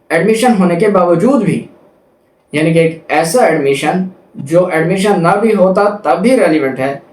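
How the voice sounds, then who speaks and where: A man's voice: fairly high pitch, darkish tone. A man with a Pakistani accent giving an explanatory law lecture, didactic, restating points and mixing in English legal terms. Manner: didactic, repeats and rephrases points; switches between Urdu and English legal terms. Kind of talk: explanatory lecture with examples, reading out legal text. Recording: echoey, roomy sound.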